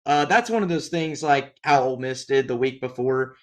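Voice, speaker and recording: A young man with a raspy, strained voice, hosting a casual solo sports talk show with many fillers and restarts. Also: medium pitch, normal speed. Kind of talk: solo sports podcast commentary.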